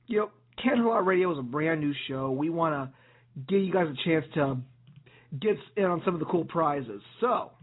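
A hoarse man with a raspy, husky voice hosts an internet radio show, upbeat and promotional, with the odd filler. His voice sits a bit high for a man. It comes through a tinny, phone-like line.

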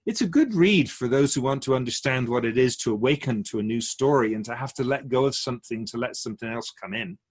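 A man playing an otherworldly keeper of souls in a radio drama, a wise and patient teacher who explains in measured, philosophical questions. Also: mid-range voice, breathy edge.

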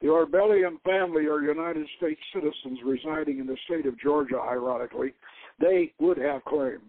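A man's voice: fairly high pitch, normal speed, no room sound, pitched below the performer's own voice. Man reading as a blunt ex-soldier agent, phone-like. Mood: dry, challenging. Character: patriotic male agent, former Green Beret, blunt. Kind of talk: dramatic novel reading. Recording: thin phone-like radio sound.

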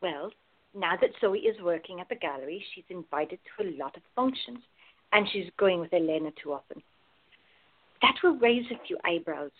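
A woman's voice: on the low side, expressive, normal speed. An actress playing a wry, protective woman with a blunt, teasing manner in radio play dialogue. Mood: concerned. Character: wry, protective woman, devoted to her girlfriend. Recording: narrow phone-like sound.

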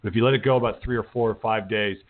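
A man's voice: fairly low pitch, steady tone, rapid-fire, a little hiss or hum. A man hosting a talk show in a casual, informal, encouraging way, often repeating phrases for emphasis. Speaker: talk show host, writing teacher. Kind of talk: casual interview chat. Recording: thin phone-like radio call audio.

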